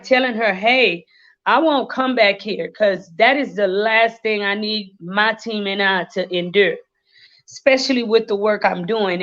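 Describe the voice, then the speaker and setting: Haitian-born woman in her 30s, slow earnest talk, lots of ums, kinda dull lo-fi stream audio. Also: on the high side, fairly bright tone. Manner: slow, earnest, with many fillers. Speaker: guest. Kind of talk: livestream interview, life story. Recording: lo-fi livestream audio.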